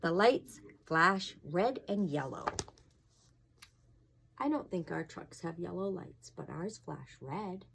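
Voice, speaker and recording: A low-voiced woman with a creaky edge reads a picture book aloud for children. Her delivery is animated and expressive, and she often steps out of the text to chat and ask questions. Some noise sits behind her voice. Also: slow. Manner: expressive, asking the young listeners questions. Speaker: fire inspector reading a children's book. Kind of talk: read-aloud storytelling with casual asides to children.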